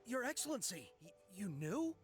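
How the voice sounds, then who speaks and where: High, impassioned young soldier. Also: male voice, sing-song, moderate pace, soft background music. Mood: desperate, fervent. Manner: earnest, impassioned. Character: zealous, loyal young male soldier. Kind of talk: scripted video game voice acting.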